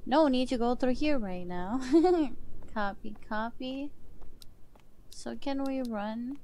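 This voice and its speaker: Woman gamer, soft voice, fairly high, slow unhurried chat, faint hiss behind. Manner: chatty, reads game text aloud in her own voice. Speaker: gaming streamer. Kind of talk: casual let's-play commentary.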